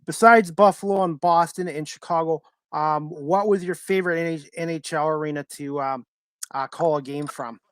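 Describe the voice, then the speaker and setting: A man with a fairly high voice hosts a casual interview over a video call, in a dry sound with little room on it. He feels his way through unscripted questions hesitantly and reassures his guest. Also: steady tone, moderate pace, smooth, no echo. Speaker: talk show host.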